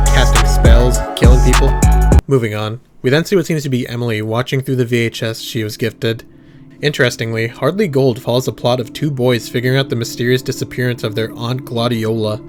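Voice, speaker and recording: A man giving casual, wry, sweary commentary and reactions on a horror series. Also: mid-range voice. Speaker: video essayist and reactor. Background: background music.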